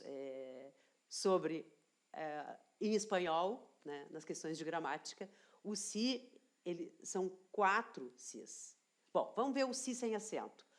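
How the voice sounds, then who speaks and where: Woman teacher, unhurried explainer, repeats points and checks in with the class; grammar lesson read. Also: lowish voice. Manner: slow, deliberate explaining, repeats key phrases, checks in with "tá?". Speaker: language teacher.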